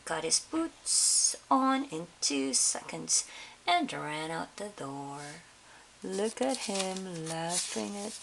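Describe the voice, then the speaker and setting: A woman reads a children's story aloud with a very animated, lowish voice that has some creak in it. She pauses to question her young listeners. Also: rough recording. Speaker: picture-book reader for children. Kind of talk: expressive read-aloud storytelling. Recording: faint background hiss.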